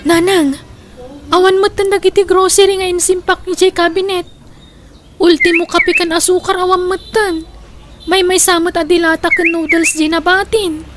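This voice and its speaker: A woman's voice: extremely high pitch, little pitch movement, normal speed, fairly bright tone, soft background music. A woman playing a nagging, complaining relative in a comedic Ilocano radio drama, speaking with an Ilocano accent in a dramatic, grumbling way.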